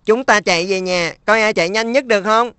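Woman doing a bright earnest cartoon big bro, coaxing, dry studio. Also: mid-range voice, fairly quick, clean tone, no echo, natural voice. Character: caring older brother boy, earnest. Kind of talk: cartoon dub.

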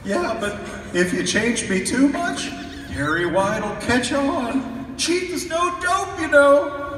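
A man's voice: extremely high pitch, animated, a bit bright, slight background noise, exaggerated voice. An actor playing a timid, whining, anxious man who protests hesitantly in a live old-time radio drama. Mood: fretful. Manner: hesitant, fretful, drawn-out protests. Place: large convention hall stage with audience. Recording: distant, very echoey hall recording.

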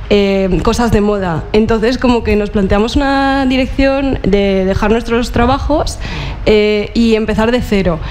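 A young woman from Murcia, a curator, speaking on a panel in a large auditorium with relaxed, hesitant talk and many fillers. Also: a little high, slight background noise. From Murcia, Spain.

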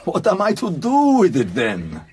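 An actor playing a proud, rich racehorse owner in a radio play, husky, formal and assertive. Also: male voice, fairly high pitch, wildly swooping pitch, a little slow, a little mellow, full character voice. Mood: indignant, proud. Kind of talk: radio drama acting.

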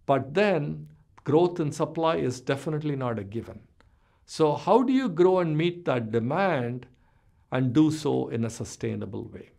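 Gravelly man in his sixties lecturing. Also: mid-range voice. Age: 60s. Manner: measured, explanatory, drops off at ends of phrases. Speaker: business professor. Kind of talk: recorded lecture over slides.

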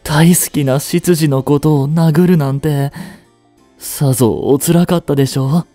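音声ドラマで、丁寧な口調で感情の激しい、執着に狂っていく若い執事を演じる男性。. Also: male voice, fairly high pitch, steady tone, measured pace, dark tone, dry recording, soft background music, lightly acted. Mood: menacing, obsessive. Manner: formal, polite servant speech, intensely emotional. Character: devoted young male butler turning obsessive and unhinged. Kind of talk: Japanese romantic situation voice drama.

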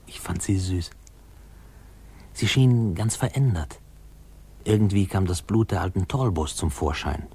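A man playing a reflective narrator who wryly recalls his boyhood in a 1950s radio play, with musing pauses. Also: low-pitched, gravelly, warm tone, slightly rough, full character voice. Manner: wry, musing, pausing.

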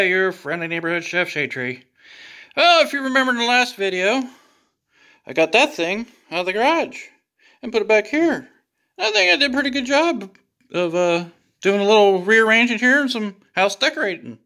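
Casual vlogger, male, higher-pitched voice, folksy and rambling, talking to a handheld phone in a garage. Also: expressive, normal speed, sharp tone. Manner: folksy, rambling, homespun phrases like "looky here". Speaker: YouTube vlogger. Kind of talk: casual walk-through vlog. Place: garage and home interior. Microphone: handheld phone camera, filming himself.

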